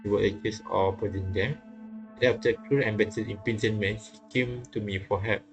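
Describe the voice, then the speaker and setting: A man with a fairly low, very monotone voice reads a visual novel aloud as a streamer. He is halting, repeats phrases and comments casually, with faint game music behind him. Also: lo-fi sound.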